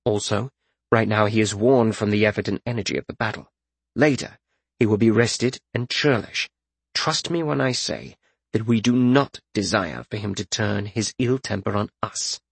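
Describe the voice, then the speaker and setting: A man voicing a calm, laconic fighter and healer in a fantasy audiobook, with an understated, measured manner. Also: fairly low pitch, vocal fry, breathy edge, no echo. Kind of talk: audiobook fantasy character acting.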